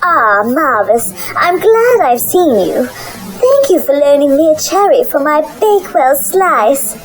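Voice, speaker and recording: A sweet, doddery old village granny, acted by a woman, for a comic radio play. She speaks slowly with a lilting rise and fall. There is faint noise behind her. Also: high-pitched, very animated, rough recording. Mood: sweet, sly. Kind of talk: comic radio drama acting.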